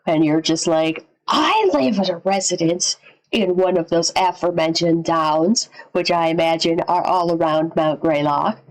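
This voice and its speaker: A woman giving casual live reaction commentary as a streamer, informal and sweary as she thinks out loud. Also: lowish voice, measured pace, rough recording. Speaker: streamer reacting to and analysing horror videos.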